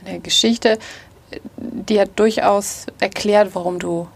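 A woman interviewing, asking gentle, probing questions. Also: medium pitch, fairly even intonation, slow, vocal fry, husky.

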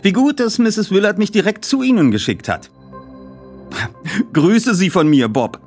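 A man's voice: high voice, huge pitch swings, unhurried, a little mellow, soft background music, voice pushed up, heavily acted. A man voicing a polite, upbeat young boy detective in an audiobook.

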